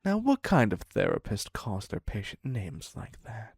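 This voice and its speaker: A man's voice: lowish voice, wildly swooping pitch, measured pace, creaky, darkish tone, slightly rough, no echo, clean studio sound, heavily acted. A man playing a theatrical, clingy serial killer in an audio roleplay, wry and mock-courteous as he dotes on his captive. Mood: wounded, coaxing. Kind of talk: scripted dark romance audio roleplay.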